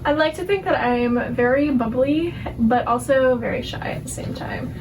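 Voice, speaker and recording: A woman's voice: sits high, normal speed, distant mic. A woman of twenty, talking candidly and bluntly in an outgoing, chatty way. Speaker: interviewee. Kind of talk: candid casual talk. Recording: noisy background hiss.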